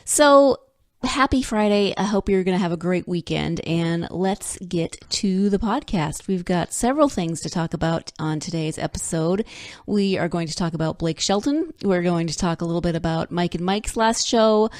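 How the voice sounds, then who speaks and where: A woman's voice: fairly low pitch, normal speed, a little mellow. A woman hosts her own podcast in a chatty, upbeat way, stumbling over fillers and restarts as she goes. She sounds congested and a little snuffly, and her throat is slightly scratchy. The recording is close and dry, with no room echo. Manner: chatty and upbeat, with frequent fillers and self-corrections. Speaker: podcast host. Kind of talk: casual solo podcast monologue. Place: home in Northern California.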